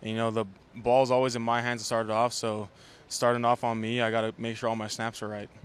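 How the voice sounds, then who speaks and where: A man's voice: mid-range voice, flat delivery, quick pace, clear, resonant tone. A young man from Hawaii who plays college football, answering interview questions in a modest, easygoing way. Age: young adult. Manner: modest, easygoing, fills with "you know".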